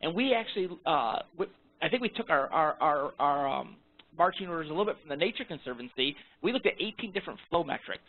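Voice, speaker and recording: A man giving an informal technical presentation on a conference call, halting, often repeating and rephrasing his words. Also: on the high side, animated, narrow, tinny audio. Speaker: research presenter. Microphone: phone conference call. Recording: thin, band-limited phone conference line.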